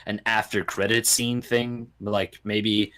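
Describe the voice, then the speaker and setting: A man's voice: on the low side, flat delivery, slow, fairly bright tone. A man hosting a podcast, chatting casually about films in an informal conversational style.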